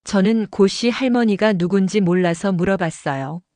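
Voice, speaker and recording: A woman narrates an audio drama in the first person with a calm storytelling delivery. Her pitch sits in the middle range. The studio recording is close and entirely dry. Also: normal speed, dry recording.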